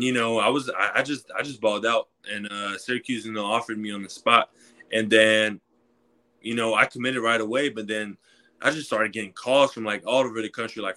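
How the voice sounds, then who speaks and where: Fast-talking young man. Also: fairly low pitch. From Quebec, Canada. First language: French. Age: 20s. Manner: relaxed, many fillers like "you know". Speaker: guest, college football player. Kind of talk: casual interview talk.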